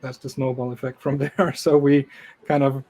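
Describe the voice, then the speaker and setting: Flat-voiced man speaking matter-of-factly. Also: mid-range voice, moderate pace. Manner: even, matter-of-fact, with fillers. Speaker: guest developer. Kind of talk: casual interview talk.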